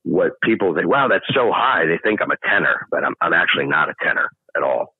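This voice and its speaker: A middle-aged man with a deep baritone speaking voice, chatting in an interview and explaining things at length with many restarts. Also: lively intonation, brisk, slightly rough, no room sound, phone-quality sound. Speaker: guest singer. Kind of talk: casual interview chat.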